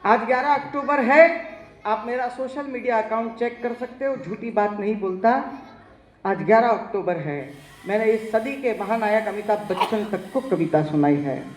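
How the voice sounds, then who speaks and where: A man's voice: high voice, normal speed, a little hiss or hum. Male comic poet working a live crowd, ribbing people by name, echoey venue. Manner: teasing, addresses audience directly. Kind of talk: live stand-up comic poetry. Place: live stage before an audience.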